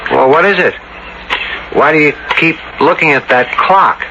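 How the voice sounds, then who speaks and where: Brisk, higher-voiced game warden. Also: male voice. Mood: puzzled, impatient. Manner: businesslike, plain-spoken, impatient. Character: brisk, practical small-town male game warden. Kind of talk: 1970s radio drama acting. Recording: dull, noisy old radio broadcast recording.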